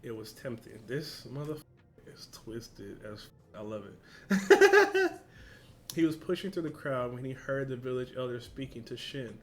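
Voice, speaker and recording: A man's voice: medium pitch, big pitch swings, normal speed, slight background noise. A man with a casual, slangy, informal way of talking, hosting a reaction video and reading along.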